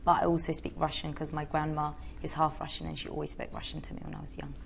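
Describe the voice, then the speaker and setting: A woman's voice: deep voice, monotone, quick pace, creaky, a little hiss or hum. A young woman from Georgia answering interview questions in a chatty, hesitant way with many fillers. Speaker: interviewee, singer.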